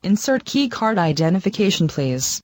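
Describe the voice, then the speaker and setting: A stiff, mechanical gate computer with a robotic edge, greeting visitors in flat formal tones, as a male narrator puts it on. It sits well above the narrator's usual pitch and sounds dry and close. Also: normal speed, dry recording.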